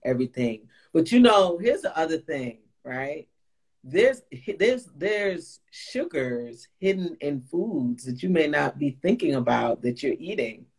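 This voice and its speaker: Lively Guyanese woman coaching on a call. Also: a little slow, slightly rough. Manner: animated, coaching, jumps into high playful voices. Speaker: host, plant-based chef. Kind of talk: live Q&A chat.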